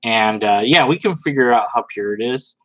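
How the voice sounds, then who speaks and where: A man giving informal, sarcastic commentary and dramatic readings, with a halting, theatrical manner. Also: mid-range voice, expressive, a bit bright, poor audio. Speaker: host reading and commenting on social media posts.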